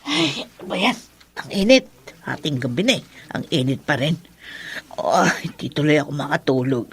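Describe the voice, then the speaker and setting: A woman's voice: low-pitched, expressive, moderate pace, breathy edge, faint music behind, heavily acted. An actress playing a bitter, spiteful older mother-in-law in a Philippine radio drama, with a Filipino accent and a melodramatic, exclamatory delivery. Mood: grumbling, weary.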